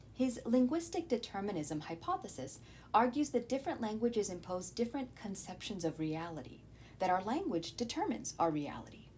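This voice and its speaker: A woman's voice: medium pitch, darkish tone, a little hiss or hum. A woman teacher reading a scripted educational narration, explaining things and speaking directly to her students.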